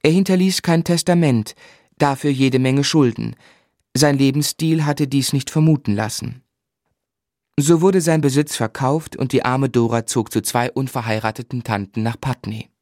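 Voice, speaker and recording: Actor as earnest young gentleman, dry studio sound. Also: medium pitch, normal speed, dry recording, close to an everyday voice. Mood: reflective. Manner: earnest, literate, romantic. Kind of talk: German radio play acting and narration.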